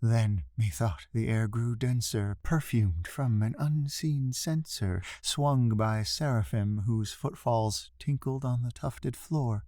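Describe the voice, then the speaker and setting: A man giving a dramatic, expressive recitation of a classic poem, drawing out emphatic phrases for effect. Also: medium pitch, slow, hardly any pauses, dry recording, clean studio sound. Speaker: poetry reader.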